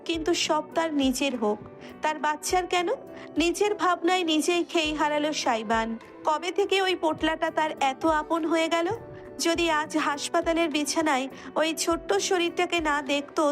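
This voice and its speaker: A woman narrator reading a romance story as dramatic scripted narration. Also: high-pitched.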